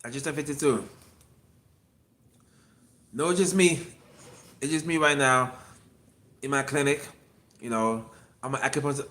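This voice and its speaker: A fifty-two-year-old man talks off the cuff on a solo livestream from his clinic. His voice sits at an ordinary middle pitch. He rambles, circles back, and repeats lines as he thanks viewers in the chat. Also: bright, forceful tone. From Miami. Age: 50s. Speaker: acupuncture physician and livestream host.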